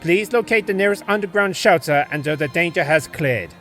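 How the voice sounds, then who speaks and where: A man's voice: sits high, animated, a little fast, a bit bright, soft background music. A man playing a formal guard pony giving a public warning in an audio drama. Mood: urgent, formal. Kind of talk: formal announcement.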